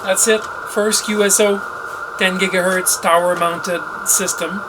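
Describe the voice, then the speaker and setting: A man's voice: high-pitched, level tone, a little slow, very noisy. A middle-aged man from Canada narrating a hobby project vlog as an amateur radio operator.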